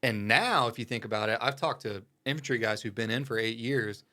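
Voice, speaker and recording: A man's voice: mid-range voice, fairly quick, sharp tone. Casual man swapping military stories. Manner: informal, slangy. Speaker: podcast guest, Marine Corps veteran.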